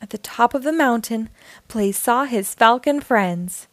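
High-pitched, unhurried woman reading a storybook. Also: no echo. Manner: measured, clearly phrased. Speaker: children's storybook reader. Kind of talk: expressive read-aloud narration.

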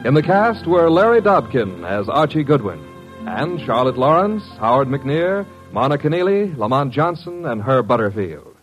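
A man's voice: medium pitch, big pitch swings, normal speed, low-quality recording. A man announcing scripted credits in the formal, polished style of 1950s network radio. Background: music.

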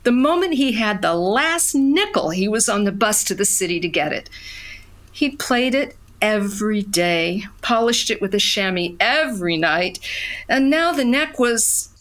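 A woman's voice: mid-range voice, animated, a little slow, fairly bright tone. An actress playing a wistful narrator who recalls her childhood in a folksy storytelling style for an audio drama. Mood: pained. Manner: reminiscing, folksy.

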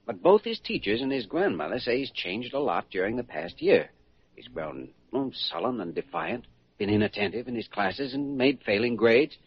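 An actor in an old-time radio drama playing an earnest, caring young doctor, thoughtful and polite. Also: male voice, medium pitch, very animated, moderate pace, creaky, husky, full character voice. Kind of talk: 1940s radio acting. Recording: dull, muffled old radio recording.

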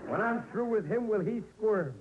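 Bossy, dim blowhard dad, high voice, animated, old radio sitcom on dull recording. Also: male voice, normal speed, over a noisy crowd, heavy background noise. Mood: boastful. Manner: blustery, bossy, exasperated. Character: blustering, stubborn, dim working-class father and husband. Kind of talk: 1940s radio comedy acting.

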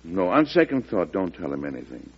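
An actor playing a shrewd, folksy, patient president in an old-time radio drama, speaking in a measured, deliberating way. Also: male voice, sits low, big pitch swings, breathy edge, exaggerated voice. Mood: conciliatory. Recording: dull old radio recording.

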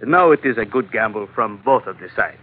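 Low-voiced sly foreign henchman. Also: expressive. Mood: sly, amused. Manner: halting, non-native English phrasing. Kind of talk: old-time radio drama acting. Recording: tinny old radio broadcast.

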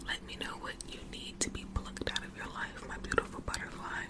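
Woman whispering soothing ASMR, very quiet. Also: brisk. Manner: soft, soothing, repetitive whisper. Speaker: ASMR livestream host. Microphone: close microphone.

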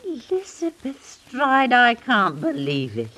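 A woman's voice: a little high, wildly swooping pitch, slow pace, a bit bright, heavily acted. An actress playing a brash, gossipy middle-aged Victorian London landlady in an old radio drama. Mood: indignant gossip. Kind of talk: 1950s radio drama acting. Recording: old radio recording with hiss.

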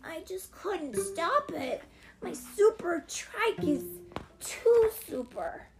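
A woman voicing an excitable, impulsive little boy with an eager, childlike manner in a children's storybook. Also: very high-pitched, slow pace, music in the background, voice pushed up, exaggerated voice, poor audio. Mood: sheepish.

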